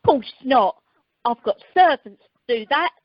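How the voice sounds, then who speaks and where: A woman's voice: high-pitched, expressive, measured pace, dry recording. A woman playing a brash, dim, vain wife in an amateur radio comedy. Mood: airy. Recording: noisy, clicky conference phone line.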